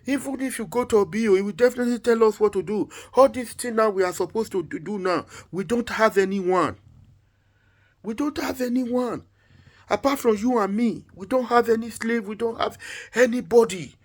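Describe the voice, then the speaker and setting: A man's voice: sits high, pitched above the performer's own voice. A man voicing a distraught king and loving husband, pleading and insistently repeating himself in an agitated way, as part of a told folktale.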